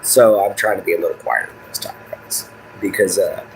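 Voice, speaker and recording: A man's voice: a little fast, slight background noise, poor audio. A man, chatting casually and rambling on a live stream from a phone propped on a table at home. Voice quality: booming voice. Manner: laid-back, rambling, apologetic. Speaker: livestreamer. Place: home room with kids and dogs.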